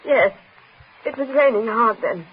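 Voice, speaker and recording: Distraught daughter, high voice. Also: female voice, animated. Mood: anxious, halting. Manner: hesitant, halting, emotional. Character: distraught, frightened grown daughter confessing to her father. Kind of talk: 1940s radio thriller acting. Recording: muffled, noisy 1940s radio broadcast.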